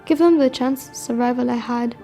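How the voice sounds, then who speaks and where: A woman's voice: fairly high pitch, a little fast, mellow, faint music behind, lightly acted. A woman playing a guilt-ridden but resolute teenage war refugee in an amateur radio drama. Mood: guilty, resolute.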